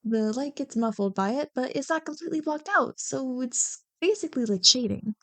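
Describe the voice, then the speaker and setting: A woman's voice: high voice, lively intonation, normal speed, a bit bright, no room sound. A woman acting a playful, teasing young childhood friend in a casual, joking ASMR roleplay. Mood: chatty, explaining. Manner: bubbly, joking, playfully bantering. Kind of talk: ASMR roleplay, one-sided casual conversation.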